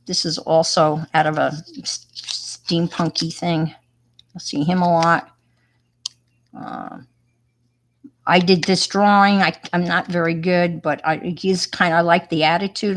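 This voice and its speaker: An older woman from Long Island with a clear New York accent talks in a loose, rambling way. Her voice sits a little low, and she often laughs at her own work while telling stories about herself. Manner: rambling, self-deprecating, chatty with fillers. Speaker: retired teacher and hobby artist. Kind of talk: casual show-and-tell chat.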